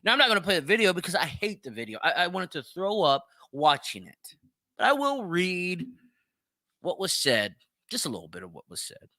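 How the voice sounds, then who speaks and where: A man's voice: high-pitched, huge pitch swings, moderate pace, sharp tone, no room sound. A man hosting an opinionated solo commentary show, speaking bluntly and emphatically, swearing freely and repeating phrases for stress. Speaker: podcast host.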